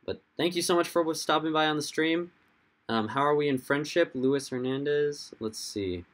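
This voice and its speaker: A young man with a hoarse, fading voice, hosting a casual livestream, rambling and answering viewers' chat comments as they come in. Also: fairly high pitch, normal speed. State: hoarse, losing his voice. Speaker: livestream host.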